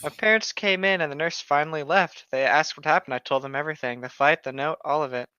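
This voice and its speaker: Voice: mid-range voice, quick pace, pushed and bright, smooth, no echo. A speaker reading aloud casually, irreverent and riffing, breaking off into joking asides, on a slightly muffled microphone. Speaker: co-host of a creepypasta read-along.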